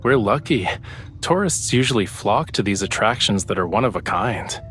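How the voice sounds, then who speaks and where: An actor playing an affectionate young male romantic lead in a game, with a soft, teasing, intimate manner. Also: fairly low pitch, lively intonation, moderate pace, faint music behind. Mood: calm, reflective. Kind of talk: scripted romance game voice acting.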